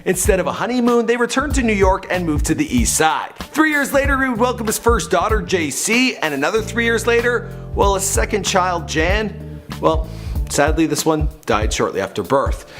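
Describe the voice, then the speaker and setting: A man's voice: high voice, big pitch swings, normal speed, fairly bright tone. A man delivering a scripted biography narration like a showy storyteller, with conversational asides. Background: background music.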